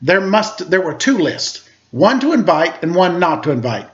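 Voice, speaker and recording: A man's voice: high-pitched, sing-song, moderate pace, a bit bright. A bossy, scornful little big sister from a storybook, acted out by a man. The delivery swings up and down as she scolds and complains, and the recording is a little dull. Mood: dismissive.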